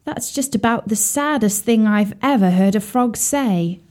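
Woman as a slow, sighing romantic lady swan. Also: on the high side, darkish tone, close to an everyday voice. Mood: sighing sympathy. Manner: sighing, gushing, dramatic. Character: tender, lovesick, romantic female swan. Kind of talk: children's storybook character voice.

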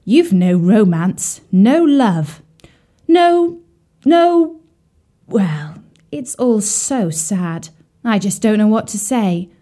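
A woman's voice: mid-range voice, big pitch swings, very slow, warm tone. A woman voicing a tender, romantic female swan in a children's story, with a sighing, gushing, dramatic manner. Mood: flustered indignation.

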